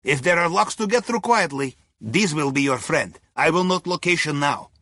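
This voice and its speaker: A wry, upbeat Russian submarine aide guides players through a video game heist. His voice is bright and husky, recorded very dry and close. He speaks clipped, article-dropping English in a thick Russian accent, with deadpan humor. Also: fairly high pitch, normal speed, no echo. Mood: businesslike, helpful. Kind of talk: scripted video game mission dialogue.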